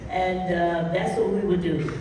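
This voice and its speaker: A woman's voice: fairly low pitch, unhurried. Older woman telling stories in an echoey hall. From Harlem, New York. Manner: lively, animated storyteller, often laughing. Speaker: guest dancer. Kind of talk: storytelling on a panel. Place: large hall at a dance camp. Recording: echoey hall, distant mic, faint noise.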